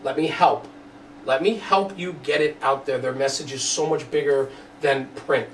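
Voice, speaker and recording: A man's voice: medium pitch, normal speed. A man hosting a casual studio podcast, speaking in an earnest, emphatic way. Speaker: podcast host. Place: studio room. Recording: roomy, distant mic, faint background noise.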